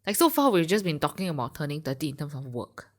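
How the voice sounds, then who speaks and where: A woman's voice: deep voice, very animated, quick pace. A woman of thirty, co-hosting a podcast, musing and questioning as she trails off. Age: 30s. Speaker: podcast co-host. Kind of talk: casual chat.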